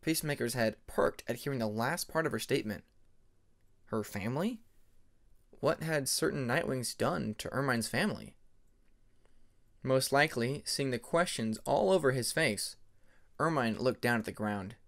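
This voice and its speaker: A man's voice: mid-range voice, normal speed, long pauses. A man reading a scripted fantasy story as an audiobook narrator.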